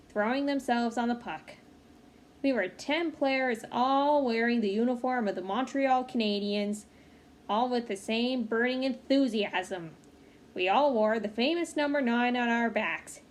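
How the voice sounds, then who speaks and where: A woman reading a children's picture book aloud in an expressive, engaged way, with small asides to her listeners. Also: fairly high pitch. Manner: expressive, pausing to comment to young listeners. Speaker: read-aloud storyteller. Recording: clearly noisy home recording.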